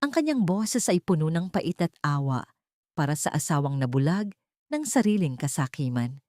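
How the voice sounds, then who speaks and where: A woman reading a dramatic audiobook story, voicing every character herself in one steady storytelling voice. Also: sits low, moderate pace, dry recording, studio quality. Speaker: audiobook narrator. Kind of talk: scripted dramatic narration.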